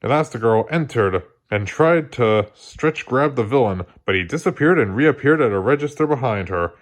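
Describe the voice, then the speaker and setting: A man narrating a children's story aloud in an expressive read-along style. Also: mid-range voice, moderate pace. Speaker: storybook reader.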